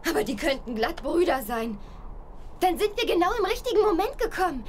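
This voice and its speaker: A young girl adventurer in a children's audio drama. Her high voice is very lively and full of curiosity, with faint ambience behind it. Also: brisk, a little hiss or hum. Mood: eager.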